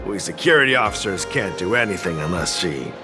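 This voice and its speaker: A man's voice: low voice, lively intonation, brisk, over loud music. A man voicing a chatty, earnest security officer in a video game, explaining things in a slightly fussy way. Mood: earnest, explanatory. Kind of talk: video game character dialogue.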